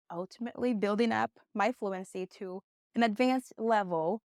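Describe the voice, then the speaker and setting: A woman's voice: mid-range voice, no room sound. Woman teaching English, dry close sound. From Brazil. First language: Portuguese. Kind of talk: conversational online English lesson with personal storytelling.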